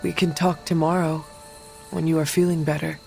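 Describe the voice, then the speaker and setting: A woman playing an earnest, stoic warrior in a video game, measured and formal. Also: low-pitched, little pitch movement, vocal fry. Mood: sombre concern. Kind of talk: dramatic video game acting. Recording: music behind.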